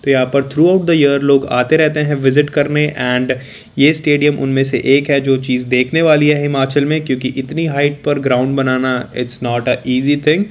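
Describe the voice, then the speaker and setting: A man's voice: mid-range voice, fairly even intonation, normal speed. A man walking viewers through an outdoor cricket stadium, explaining things casually in a mix of Hindi and English. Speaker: cricket vlogger giving a stadium tour. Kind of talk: walking tour vlog, mixing Hindi and English.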